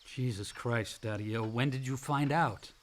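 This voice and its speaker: An actor playing a brash, loyal younger son in a radio play, blunt and informal. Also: male voice, mid-range voice, unhurried, natural voice. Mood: worried.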